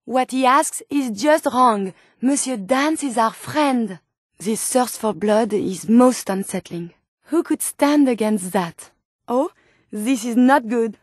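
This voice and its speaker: A woman voicing a gentle, curious French robot scientist in a video game, speaking politely and formally with a French accent. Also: on the high side, slow pace, husky, no echo, clean studio sound. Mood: troubled, indignant. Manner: polite, formal, precise phrasing. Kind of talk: video game character dialogue.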